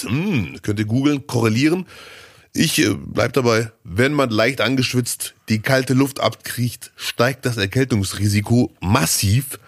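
A man with a slightly hoarse, scratchy voice from a cold, co-hosting a casual podcast and telling rambling stories full of fillers. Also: mid-range voice, no echo, studio quality. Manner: chatty, storytelling, rambling with fillers. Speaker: podcast co-host.

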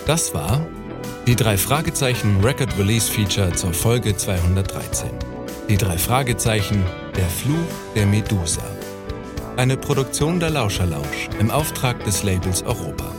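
A man's voice: on the low side, lively intonation, normal speed. A man reading scripted closing credits as an announcer. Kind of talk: scripted narration. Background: theme music with drums and guitar.

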